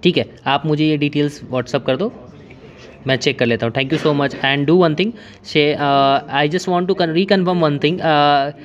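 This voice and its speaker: A man's voice: fairly high pitch, steady tone, slow. A man in his twenties on a phone call as a customer, hesitant and rambling, with many fillers. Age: 20s. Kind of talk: casual phone conversation. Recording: lo-fi phone line.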